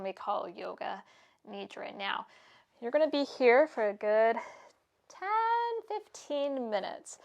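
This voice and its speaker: A woman yoga instructor giving calm, soothing, unhurried cues for stretching, breathing and meditation. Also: fairly high pitch, vocal fry.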